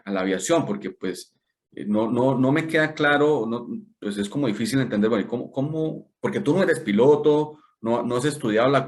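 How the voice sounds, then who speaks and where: Un presentador que entrevista con preguntas insistentes y vacilantes, corrigiéndose a menudo. Su voz masculina tiene una altura media. Mantiene un estilo de charla espontánea. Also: male voice, mid-range voice. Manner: inquisitive, hesitant, rephrasing his questions. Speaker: interview host. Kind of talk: conversational interview.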